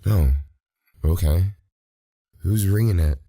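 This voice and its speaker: A man playing a laid-back, slightly puzzled teenage boy in an audio drama, casual and husky. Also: deep voice, slow, warm tone, dry recording, clean studio sound, heavily acted. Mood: groggy, curious. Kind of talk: audio drama acting, naturalistic.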